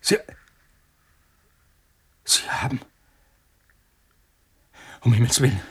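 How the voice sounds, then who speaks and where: An actor playing an arrogant male scientist under threat in a radio play, agitated and prone to stammering and repeating himself. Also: drawn-out words. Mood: horrified, pleading. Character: arrogant male scientist reduced to frantic, pleading terror.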